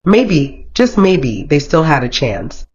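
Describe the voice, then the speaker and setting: A storyteller narrates a romantic folktale from a script. The delivery is dramatic and expressive at a middling pitch. The recording sounds dull and lo-fi. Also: slow pace.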